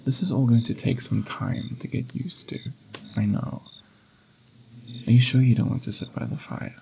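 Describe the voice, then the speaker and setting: A man playing a patient, gentle, mysterious ageless being in an ASMR roleplay, speaking in an unhurried, philosophical, reassuring way with a gravelly, creaky voice. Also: mid-range voice, steady tone, slight background noise. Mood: calm, knowing. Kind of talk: ASMR roleplay, slow intimate monologue.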